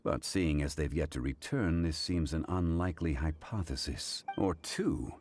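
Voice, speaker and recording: Zhongli, a deep, formal scholar. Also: male voice, darkish tone, slight background noise. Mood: composed. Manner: measured, formal, deliberate reasoning. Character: calm, learned, dignified man of old-fashioned formality. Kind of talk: video game voice acting.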